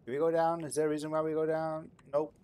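A man's voice: fairly high pitch, level tone, quick pace, warm tone, smooth. A man giving casual, playful gameplay commentary as he talks to himself while hosting a gaming video.

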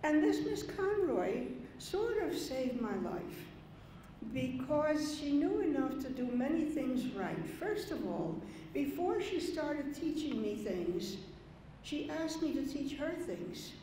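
An older woman from the Dominican Republic, speaking at a podium before a poetry reading, unhurried and anecdotal with small asides. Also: high voice, very animated, dark tone. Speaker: poet giving a reading. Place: large conference hall, at a podium. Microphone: podium mic on a gooseneck stand. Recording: very echoey hall with faint noise.

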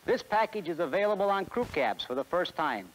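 A man's voice: sits high, big pitch swings, fairly quick, slight background noise, poor audio. A man in his forties with an American accent giving a scripted sales presentation in a 1960s promotional film. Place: film studio beside a truck.

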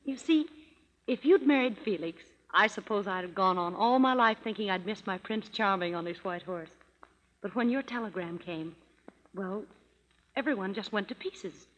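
An actress playing a tender, contented grown-up sister, earnest and wistful in 1930s radio drama style. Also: female voice, mid-range voice, lively intonation, moderate pace, close to an everyday voice. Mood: wistful, happy. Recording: muffled, reverberant 1930s radio broadcast.

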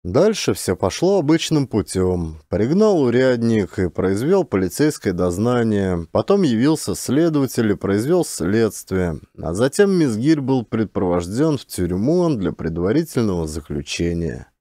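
A man reading a literary story as an audiobook narrator, in an expressive, measured storytelling manner. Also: fairly low pitch, no room sound, clean studio sound.

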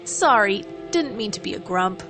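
A woman's voice: medium pitch, expressive, moderate pace, lightly acted. Brash young athletic pony mare , played by a woman: cocky, casual, kind underneath, cartoon storybook delivery over music. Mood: apologetic.

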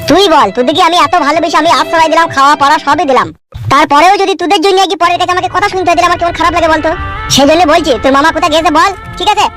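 A woman acts out a stern local political boss in a cartoon comedy. The speech is rapid and high, proud and image-conscious, over faint music. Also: a bit bright. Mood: stern, aggrieved.

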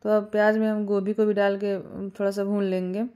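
A woman calmly talking through a home cooking recipe step by step, with small hesitations. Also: mid-range voice, fairly quick, clear, resonant tone. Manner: calm step-by-step instructions with small fillers. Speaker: cooking channel host. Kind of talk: home cooking tutorial voice-over.

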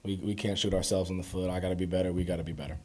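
A young man in his twenties answering reporters at a postgame press conference, calm and measured with many "you know"s, speaking into podium microphones. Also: sits low. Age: 20s. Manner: calm, measured, filler-heavy replies. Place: press conference room before a sponsor backdrop.

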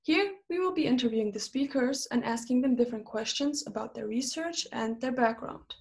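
A woman's voice: a little high, fairly quick, vocal fry, a little mellow. A young woman hosting an interview series, delivering a scripted introduction to camera. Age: young adult. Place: small plain room indoors.

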